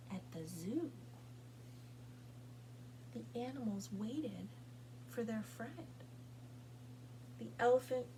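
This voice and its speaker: Lady doing kids storytime, reads slow with lots of pauses, kinda high voice, humming noise behind. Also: rough recording. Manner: deliberate, expressive phrasing with pauses for young listeners. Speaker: storytime reader at a public library. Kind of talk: children's picture book read-aloud.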